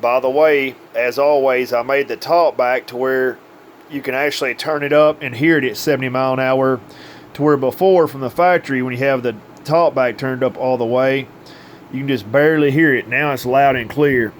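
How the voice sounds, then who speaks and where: A man's voice: medium pitch, fairly even intonation, moderate pace, a little hiss or hum. A man giving an informal, hands-on update as a radio repair technician, with plain, folksy phrasing. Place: indoors at a repair workbench.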